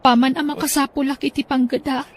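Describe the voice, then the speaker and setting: A woman's voice: sits high, flat delivery, fast, natural voice. A woman playing Josie, a pleading young woman. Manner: pleading, earnest. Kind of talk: Ilocano radio drama acting.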